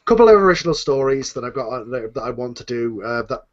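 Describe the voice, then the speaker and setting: A man's voice: mid-range voice, animated, fast. A man hosting a casual question and answer chat, speaking in a hesitant, rambling way with repeated phrases on a lo-fi connection. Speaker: host, writer and producer of a fan audio drama. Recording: dull lo-fi voice call.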